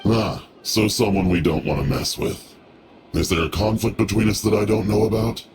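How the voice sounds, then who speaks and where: A man's voice: lowish voice, steady tone, normal speed, background noise, lightly acted. A man voicing a secretive, wary debt collector in a video game, curt and businesslike. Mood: guarded.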